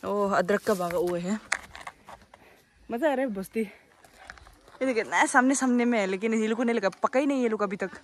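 A young woman from Arunachal Pradesh, chatting excitedly to her phone camera while vlogging around a hill village. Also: mid-range voice, normal speed, pauses often, a little hiss or hum. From Arunachal Pradesh, India. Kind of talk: casual vlog narration.

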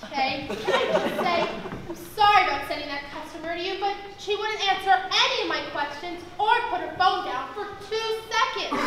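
A young woman playing an eager, anxious new cashier in an amateur stage comedy, earnest and apologetic. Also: very high-pitched. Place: indoor theatre stage. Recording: very echoey stage, clear noise.

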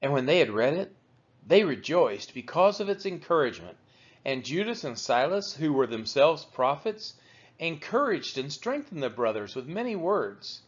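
A man reading the Bible aloud as measured narration, with deliberate, emphatic phrasing. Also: fairly high pitch, sing-song, slightly rough. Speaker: Bible reader. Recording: dull, lo-fi recording.

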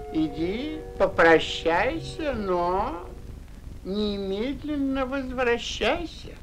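A man's voice: sits high, fairly bright tone, exaggerated voice, low-quality recording. An actor playing a pompous, self-important rich mole bridegroom in a classic cartoon, drawing out his words theatrically. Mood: grudging, bossy. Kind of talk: classic Soviet cartoon voice acting. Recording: old cartoon soundtrack, faint music.

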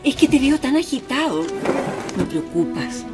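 An actress playing a shopkeeper's fretful, affectionate wife in a radio drama, with a questioning, expressive way of talking. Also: female voice, high-pitched, measured pace, loud music behind the voice. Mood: worried.

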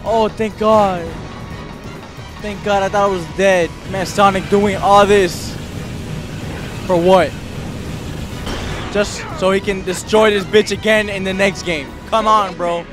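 A man hosting a gaming video with excitable, slangy, profane commentary, often repeating phrases and yelling. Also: sits high, takes it slowly, pauses often. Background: video game music and sound effects.

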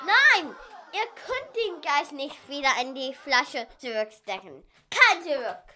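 A woman's voice: sits high, big pitch swings, measured pace, sharp tone, full character voice. Woman playing a stubborn VR-obsessed teen girl. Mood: protesting. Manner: stubborn, dismissive. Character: teenage girl hooked on VR, defiant. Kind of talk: children's audio drama.

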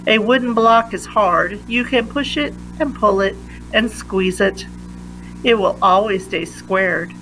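A woman reading a children's science picture book aloud with deliberate, emphatic phrasing for young listeners. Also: mid-range voice, a bit bright, slight background noise, low-quality recording. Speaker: read-aloud reader.

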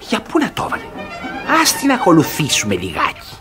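An actor playing a suspicious, gloating middle-aged guardian in a classic radio theatre comedy, blustering and fussy. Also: male voice, a little high, huge pitch swings, over music.

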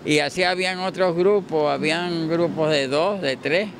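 A man in his seventies with a Puerto Rican accent chats in an outdoor interview. He tells things haltingly, circling back over his words, into a handheld microphone. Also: fairly high pitch, slight background noise. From Puerto Rico. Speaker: street musician. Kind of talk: casual interview. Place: outdoors on a town street. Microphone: handheld interview mic.

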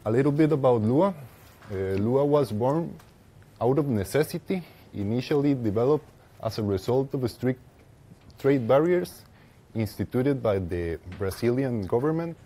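A young man with a Costa Rican Spanish accent giving a technical presentation as a conference speaker, haltingly, with pauses mid-phrase. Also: medium pitch, animated, mellow. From Costa Rica. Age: young adult. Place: lecture room with seated audience, indoors.

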